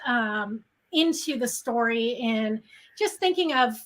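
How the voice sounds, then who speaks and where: A middle-aged woman with a clearly high, bright voice. She speaks casually and conversationally, as a writer being interviewed. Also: animated, takes it slowly. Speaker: interview guest, horror writer. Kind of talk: casual chat.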